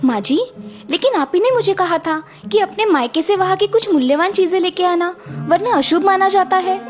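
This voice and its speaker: Woman playing a very high-voiced, sweet young daughter-in-law. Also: expressive, moderate pace, music in the background. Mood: innocently clever. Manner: polite, deferential. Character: clever, dutiful young Indian daughter-in-law, sweetly polite. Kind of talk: cartoon moral-story voice acting.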